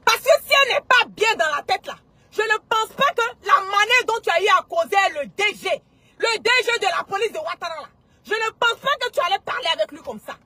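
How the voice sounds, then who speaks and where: Ivorian female commentator, piercingly high and sharp, indignant and repetitive, for an impassioned political rant. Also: expressive, a little fast. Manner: indignant, emphatic, repetitive, mocking. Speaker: online commentator.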